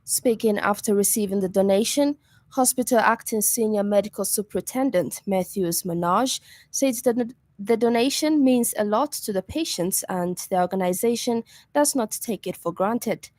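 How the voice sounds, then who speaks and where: A woman's voice: medium pitch, moderate pace, a little mellow, no echo. A woman with a Zambian accent reading a scripted news bulletin as a radio news reader. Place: radio studio.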